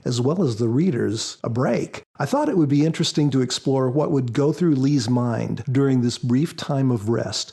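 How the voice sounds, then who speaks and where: A man speaking as a guest author in a podcast interview, explaining in a measured, prepared style. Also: medium pitch, vocal fry, darkish tone, husky.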